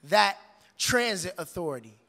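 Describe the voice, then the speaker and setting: A man's voice: high voice, expressive, unhurried, vocal fry, pushed and bright. A man performing spoken word poetry with an emphatic, rhythmic, declamatory delivery. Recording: long reverberant hall.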